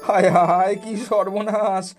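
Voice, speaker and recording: A man's voice: sits high, little pitch movement, measured pace, faint music behind. A man voicing a greedy, blustering village moneylender in a Bengali cartoon, theatrical and exclamatory. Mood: panicked wailing.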